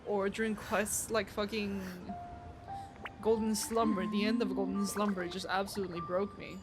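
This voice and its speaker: A young woman comments casually on a game as a live streamer. The voice is medium in pitch and conversational. Music plays clearly in the background. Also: flat delivery, moderate pace.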